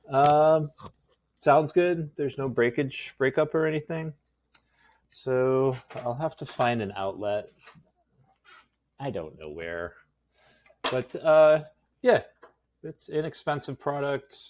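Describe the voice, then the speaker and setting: A man's voice: mid-range voice, expressive, measured pace, pauses often, darkish tone, a little hiss or hum. A man casually rambling through a product demo as a video host, talking to himself and joking at his own expense in a goofy way. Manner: goofy, self-deprecating joking; talks to himself while working. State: tired.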